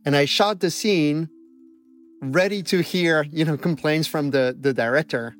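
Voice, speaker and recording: A man's voice: on the high side, measured pace, soft background music. A man with a Belgian French accent, a researcher explaining his studies and telling a personal story in an interview, thoughtful and self-deprecating. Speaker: emotion researcher guest. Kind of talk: conversational interview.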